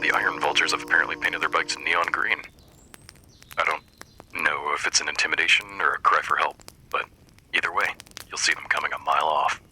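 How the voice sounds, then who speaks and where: Man acting as post-apocalyptic radio DJ, very low pitch, bright forceful tone, wry, deadpan, folksy survivor. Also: a little fast, pauses often, full character voice, poor audio. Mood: wry, gossipy. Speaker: radio host. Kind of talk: scripted radio show monologue.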